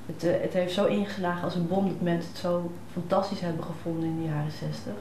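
A Dutch woman with a slightly low voice gives casual interview answers. The recording is roomy and echoey, with faint ambient noise. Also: flat delivery, fast talker. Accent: Netherlands Dutch. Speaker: photographer being interviewed. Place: living room, on a sofa. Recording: roomy echo, noticeable room ambience.

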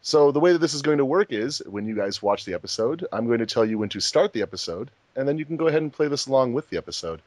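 A man's voice: medium pitch, expressive, fast talker, few pauses, darkish tone, no echo. A man hosting a casual group commentary track, talking informally as the director of a fan project. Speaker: host, fandub director.

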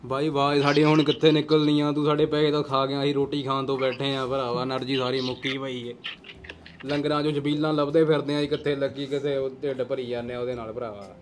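A man's voice: mid-range voice, little pitch movement, fast talker, background noise. A man, bantering casually on prank calls. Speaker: prank-call vlogger. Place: small indoor gaming room.

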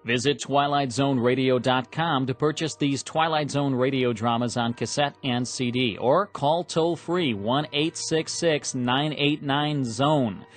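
A man reading an advertisement in a deliberate way. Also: medium pitch, faint music behind. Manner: slow, deliberate. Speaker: announcer. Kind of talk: ad read.

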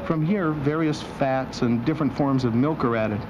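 A man's voice: mid-range voice, moderate pace. Man narrating wryly, dull noisy recording. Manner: wry, deadpan. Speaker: host and narrator. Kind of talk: scripted documentary narration with dry humor. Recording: dull old video soundtrack with machinery noise.

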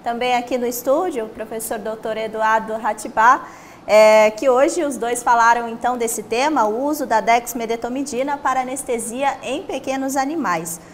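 A woman's voice: on the high side, fairly even intonation, normal speed, slight background noise. A young woman with a Brazilian Portuguese accent, hosting a talk show in a studio with polite, formal announcing, on a lapel mic. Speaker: host. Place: studio set.

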